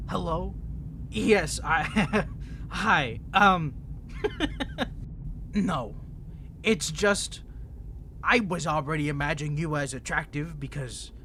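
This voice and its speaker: A man's voice: on the high side, background noise. A man playing an insane, seductive vampire villain in a roleplay audio drama, speaking straight to the listener in a theatrical, coaxing, condescending way. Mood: flustered, giddy. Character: insane male vampire villain, seductive, menacing, toying with prey.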